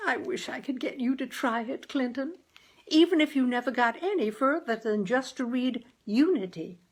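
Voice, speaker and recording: A woman's voice: sits high, expressive, normal speed, voice pushed up, rough recording. A woman voicing a chatty, earnest, homespun housewife and mother in a storybook, folksy and given to exclaiming. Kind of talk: dramatized audiobook character.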